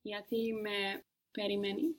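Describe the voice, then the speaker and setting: A woman's voice: mid-range voice, flat delivery, moderate pace. A woman student in a language lesson, answering each prompt with a brief, tentative phrase.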